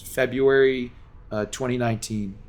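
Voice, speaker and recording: A man's voice: medium pitch, fairly even intonation, moderate pace. A game streamer from Los Angeles talks to his viewers in a long, earnest, rambling monologue full of restarts and fillers, speaking from home.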